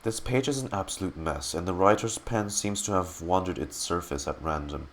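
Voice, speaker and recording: A man who streams games, reading in-game text aloud dramatically and haltingly and muttering his reactions. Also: low voice, a little hiss or hum.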